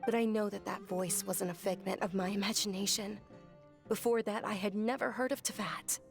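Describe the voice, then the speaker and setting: A woman playing an earnest, gentle young woman recalling a sickly childhood in a scripted video game. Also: medium pitch, fairly even intonation, moderate pace, gravelly, close to an everyday voice. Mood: wistful, earnest. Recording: game audio with music underneath.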